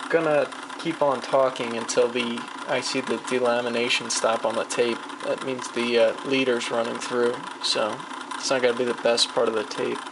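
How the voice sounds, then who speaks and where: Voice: medium pitch, little pitch movement, moderate pace. A person chatting casually and off the cuff while demonstrating a vintage machine, rambling and muttering to themselves as they work. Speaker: hobbyist demonstrating vintage tape recorder. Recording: clearly noisy home video, machine hum.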